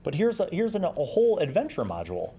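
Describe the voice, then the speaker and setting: A man's voice: fairly high pitch, huge pitch swings, fast, warm tone, breathy edge. Middle-aged man chatting through a review. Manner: enthusiastic, informal, rambling. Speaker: host of a review series. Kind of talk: casual chatty magazine review, commenting while paging through.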